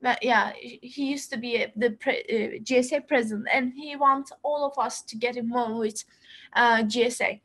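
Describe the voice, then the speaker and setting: Brief: young woman, high bright voice, halting webinar host with lots of restarts, laptop mic at home. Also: steady tone. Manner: halting, many fillers and restarts, laughs often. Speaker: student association president. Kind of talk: casual webinar talk. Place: small room at home, indoors. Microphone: laptop webcam, video call.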